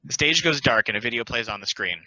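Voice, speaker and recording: A man's voice: mid-range voice, animated, quick pace, pushed and bright, no echo. A man reading scripted narration as the narrator of stage directions. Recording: dry, dull lo-fi audio.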